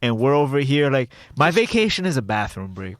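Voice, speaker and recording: A man with a low voice, co-hosting a podcast in rambling, joking, self-deprecating banter. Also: sing-song, brisk, crowd noise. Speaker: podcast co-host. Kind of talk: casual banter.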